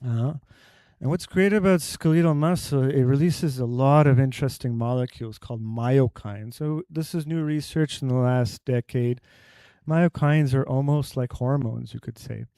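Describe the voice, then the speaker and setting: A man's voice: medium pitch, mellow, no echo. A man giving a conference talk on health to a live audience. He explains with everyday analogies and quizzes the crowd directly.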